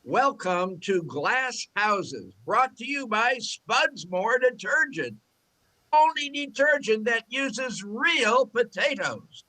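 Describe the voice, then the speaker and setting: Radio soap announcer, played by an older man: theatrical, high-pitched, very animated delivery. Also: takes it slowly, full character voice. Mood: grand, dramatic. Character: plucky, dramatic announcer of old radio soap opera. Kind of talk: 1940s radio soap opera narration.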